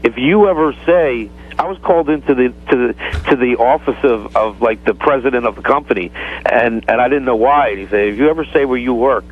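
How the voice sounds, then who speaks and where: A man is heard through a narrow, tinny phone connection as a radio caller. His casual talk is halting and earnest, and he sidesteps as he explains himself. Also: medium pitch, husky.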